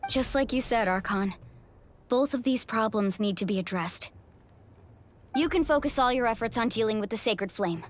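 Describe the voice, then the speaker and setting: Woman as an earnest young female warrior, higher-pitched. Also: normal speed, slight background noise, natural voice. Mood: determined. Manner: earnest, heartfelt. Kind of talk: video game character acting. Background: music.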